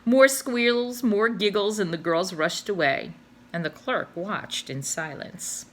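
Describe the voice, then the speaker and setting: A woman, a teacher, reading a children's novel aloud with expressive storytelling. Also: mid-range voice, takes it slowly.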